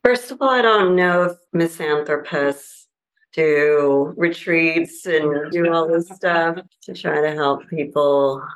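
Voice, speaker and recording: A woman's voice: sits low, slow pace. A woman sharing reflections casually in a group discussion, hesitant and searching for her words. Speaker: participant in a Zen group discussion. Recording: roomy, echoing group-room pickup.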